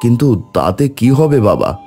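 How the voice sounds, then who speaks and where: Casting: male narrator as devoted village brother for a cartoon tale; earnest, grief-laden, halting, widely swinging pitch, music bed. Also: sing-song, warm tone. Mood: hesitant. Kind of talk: animated folk tale dialogue.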